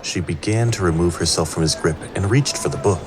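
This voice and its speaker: A man's voice: low voice, a little fast, lightly acted. A man performing scripted narration of a fantasy tale as an all-knowing storyteller. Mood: suspenseful. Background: prominent music.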